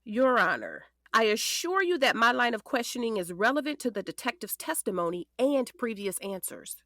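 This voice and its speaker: An actress playing a determined defense attorney, questioning in a deliberate, emphatic courtroom manner for an audio drama. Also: female voice, fairly high pitch, sing-song, fairly bright tone, no room sound, clean studio sound. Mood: persuasive. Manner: deliberate, emphatic cross-examining. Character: determined female defense attorney, pointed and composed.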